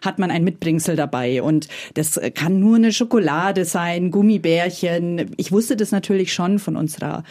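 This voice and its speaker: Chatty Franconian woman, mellow voice. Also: fairly low pitch, moderate pace, hardly any pauses. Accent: Franconian German. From Franconia, Germany. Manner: chatty, hesitant, often laughing. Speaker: interview guest. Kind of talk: casual conversational storytelling.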